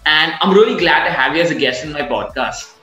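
Bright-voiced male podcast host, echoey. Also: a little high, a little fast, faint music behind. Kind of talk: conversational interview.

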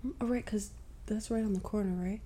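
A woman co-hosting a podcast in casual chat, chiming in with quick agreements and casual swearing. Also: medium pitch, fairly even intonation, dark tone, slightly rough. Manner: short affirming replies, swears casually. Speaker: podcast co-host.

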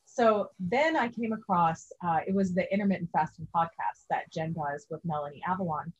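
A woman's voice: fairly low pitch, moderate pace, dull audio, slight background noise. A woman in her forties, a guest in a casual interview, speaking earnestly. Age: 40s.

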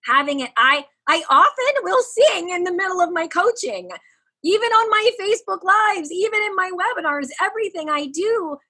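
A woman in her forties with a high, youthful-sounding voice talks freely in a relaxed podcast chat. Her tone is bright and forward, and she laughs easily as she shares stories. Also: normal speed. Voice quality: higher-pitched, youthful-sounding. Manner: expressive, laughs often, animated. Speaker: coach. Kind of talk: casual podcast conversation.

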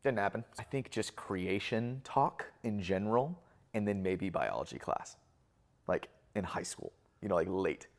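A man in his twenties talks in a low voice. He is casual and reflective, breaking off his own sentences in an informal, halting interview style. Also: expressive. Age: 20s.

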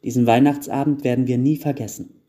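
A man voicing a motherly, brisk gnome wife in a storybook tale. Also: mid-range voice, fairly even intonation, warm tone, close to an everyday voice. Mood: motherly, firm.

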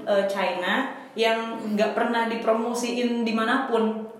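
A woman's voice: fairly high pitch, fairly even intonation, slow pace. A woman in her twenties, a podcast guest casually telling her story in slangy talk with English phrases mixed in. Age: 20s. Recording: very echoey room with faint noise.

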